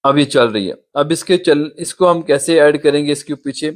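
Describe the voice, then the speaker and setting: A man's voice: on the high side, moderate pace. A man hosting a step-by-step app tutorial, patiently pointing things out on the screen, recorded on a phone. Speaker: YouTube tutorial host.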